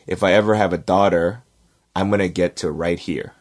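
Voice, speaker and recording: A man co-hosting a podcast, telling stories in casual chat with animated, quick bursts and lots of fillers. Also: low-pitched. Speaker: podcast co-host.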